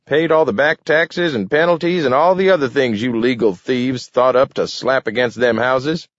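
A man voicing a feisty, folksy old grandfather from a storybook, shrill and crowing. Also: moderate pace, no echo, lo-fi sound, natural voice. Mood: triumphant.

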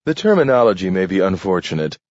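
A man doing a smooth, lecturing official. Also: deep voice, sing-song, fast, a little mellow, no room sound. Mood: assured. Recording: dull lo-fi recording.

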